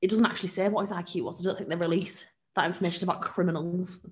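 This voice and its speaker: A woman's voice: fairly low pitch, steady tone, very fast, a crowd in the background. A woman co-hosting a casual podcast, telling a case from her notes in a joking, giggly way. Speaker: podcast co-host presenting a case. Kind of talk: casual true-crime podcast chat. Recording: roomy echo.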